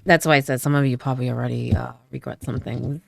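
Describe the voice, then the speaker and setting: A woman's voice: very deep voice, fairly even intonation, fast, gravelly, a little hiss or hum. A woman doing a casual tarot reading, a little stuffy from a cold, chatty and often checking in with "okay?" and "right?". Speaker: tarot reader.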